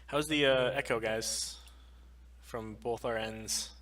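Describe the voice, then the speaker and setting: A man's voice: medium pitch, fairly even intonation, takes it slowly, fairly bright tone. Man co-hosting a casual livestream. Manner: informal, sweary. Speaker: podcast stream co-host. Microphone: headset.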